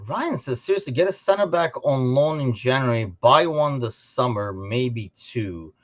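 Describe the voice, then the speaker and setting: A man co-hosting a casual sports podcast, talking informally and thinking out loud as he discusses. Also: mid-range voice, lively intonation, moderate pace, dull audio. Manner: informal, conversational, thinking aloud. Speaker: podcast co-host.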